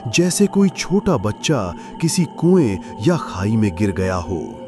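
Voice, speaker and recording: Slow, low-voiced man narrating horror. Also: very animated, music in the background. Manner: suspenseful, deliberate. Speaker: narrator of a horror audio story. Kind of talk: dramatic scripted horror narration.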